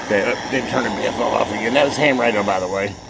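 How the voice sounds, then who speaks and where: Male video host, gravelly and offhand, casual hands-on demo with loud static behind him. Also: on the low side, rapid-fire. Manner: offhand, muttering to himself. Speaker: video host reviewing a radio. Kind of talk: casual hands-on demo, counting channels aloud. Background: shortwave radio noise.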